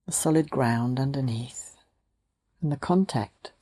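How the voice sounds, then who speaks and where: Very low, slow woman guiding meditation. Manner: gentle, sparse phrases with long pauses. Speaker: meditation teacher. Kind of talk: guided meditation.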